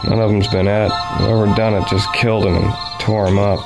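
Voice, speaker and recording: A man with an American accent playing a grim, grief-stricken huntsman in a radio play, his voice quiet and choked. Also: fairly low pitch, little pitch movement, brisk, creaky, loud music behind the voice.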